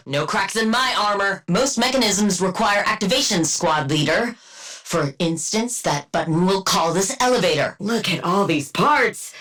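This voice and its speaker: A woman's voice: lowish voice, a bit bright. A woman playing a haughty, sardonic scientist supervillain in a video game, with clinical, precise diction and dry, condescending wit. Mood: dry, composed. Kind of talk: scripted game character voice lines.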